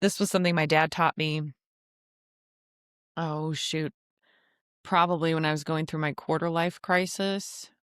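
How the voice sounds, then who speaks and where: A woman hosts her own podcast in a relaxed, chatty way, tossing in jokes and laughing easily. Her voice sits a little low and sounds smooth and clear. The sound is dry and close to the mic. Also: a little slow, pauses often, no echo. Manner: chatty, joking asides, frequent laughs. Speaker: podcast host and travel agency owner. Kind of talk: casual solo podcast monologue.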